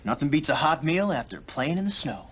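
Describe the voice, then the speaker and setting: An actor playing a wisecracking, hot-headed soldier hero in a science fiction video game cutscene. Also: male voice, fairly high pitch, sing-song, brisk, noisy background. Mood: cocky. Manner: cocky, sarcastic. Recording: music behind the voice. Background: music.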